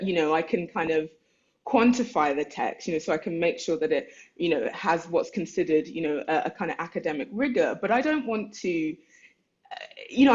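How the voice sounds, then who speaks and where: An artist lectures online about their own thesis and reads their own letters aloud. The delivery is reflective and searching, feeling its way through ideas. The voice sits at a middling pitch, heard through a dull, lo-fi call connection.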